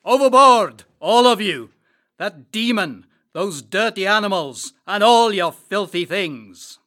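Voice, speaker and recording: A man's voice: on the high side, unhurried, pushed and bright, no echo, clean studio sound. A man voicing an angry, brutal male sea captain who snarls insults in a storybook reading. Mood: furious. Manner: snarling, contemptuous.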